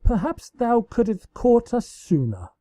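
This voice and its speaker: A cheeky younger princess pony of the night, acted out by a man, raised in pitch and mellow in tone. She taunts in grand, old-fashioned speech, a playful storybook rascal. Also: huge pitch swings, slow, no echo, full character voice. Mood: cheeky, mock solemn. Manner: archaic, theatrical, taunting. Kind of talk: amateur storybook character reading.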